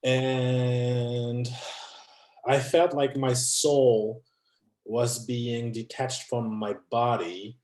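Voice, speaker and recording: A man's voice: mid-range voice, level tone, drawn-out words, darkish tone. Hesitant thirty-something man, echoey room. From France. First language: not English. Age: 30s. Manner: hesitant, many restarts and fillers. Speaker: guest. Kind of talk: conversational interview.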